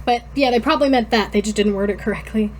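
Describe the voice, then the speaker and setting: Female podcast co-host, high and giggly, casual, stumbling through dramatic readings with commentary, faint noise behind. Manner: informal, halting when reading aloud, breaking off to comment.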